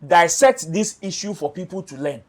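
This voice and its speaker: This is a man with a Ghanaian accent and a high-set voice, talking in a studio. He argues with heat and keeps repeating his rhetorical questions to drive a point home. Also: expressive, normal speed. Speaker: entertainment show panelist. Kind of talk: animated studio discussion.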